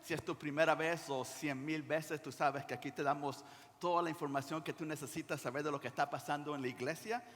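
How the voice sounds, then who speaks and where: Venezuelan guy in his 60s preaching, kinda high voice, halting and informal, big echoey church hall. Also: steady tone, a bit bright. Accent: Venezuelan Spanish. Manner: informal, halting with repeated words. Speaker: pastor. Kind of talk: sermon with anecdotes and prayer, conversational. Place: church hall during a service. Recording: reverberant hall.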